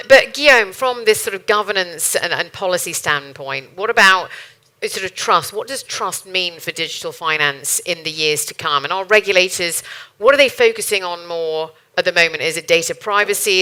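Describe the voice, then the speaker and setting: A woman's voice: fairly low pitch, brisk, sharp tone, rough recording. A woman moderating a panel discussion, asking questions in a chatty, conversational way.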